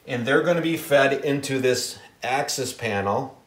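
A man in his forties giving a casual how-to explanation to camera in a plain, unhurried, halting way. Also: medium pitch. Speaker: DIY video host. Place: inside a small unfinished wooden cabin with a steel ceiling.

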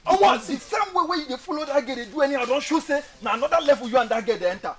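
A man's voice: very high voice, lively intonation, rapid-fire. A man with a Nigerian accent playing a mocking, nagging young friend in a film drama, repeating his taunts. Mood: taunting. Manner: taunting, repetitive. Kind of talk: Nigerian film acting in Pidgin dialogue.

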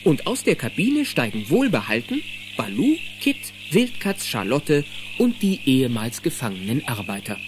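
A man's voice: medium pitch, very animated, normal speed, noisy background. A man narrating a children's audio drama in a scripted storytelling style.

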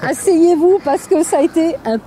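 A woman co-hosting a travel vlog, chatty and quick to chime in. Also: extremely high pitch, crowd noise. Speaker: travel vlogger, co-host. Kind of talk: casual travel vlog chat. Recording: outdoor recording with people around.

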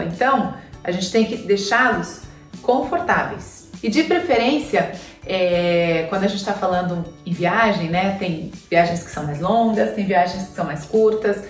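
A woman's voice: medium pitch, distant mic. A woman in her thirties from Brazil, chatting to the camera with advice and many fillers, seated on a sofa in a living room. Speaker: pet vlogger. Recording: roomy, from off-mic, soft music.

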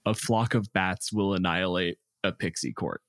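A man talking casually as a podcast co-host, explaining game creatures in an animated way. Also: sits low, moderate pace, no echo, clean studio sound. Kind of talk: casual chat about tabletop game monsters.

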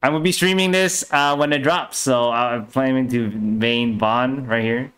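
A young man giving casual, halting reaction commentary as a streamer at his desk. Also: medium pitch, a bit bright, clean tone. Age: young adult. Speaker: streamer reacting to a game trailer. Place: small room indoors at a desk. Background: faint music.

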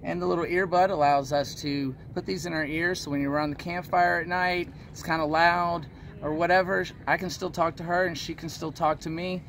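A man's voice: a little high, fairly even intonation, a little fast, fairly bright tone, a little hiss or hum. A man in his thirties casually explaining things as an interview guest outdoors. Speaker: guest, van-life traveler and student. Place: outdoors beside a parked van.

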